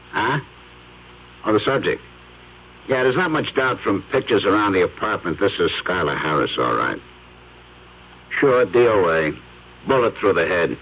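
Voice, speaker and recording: An actor playing a blunt, wisecracking police detective in a 1970s radio drama, with a gruff, offhand manner. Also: male voice, lowish voice. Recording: dull radio broadcast with faint hiss.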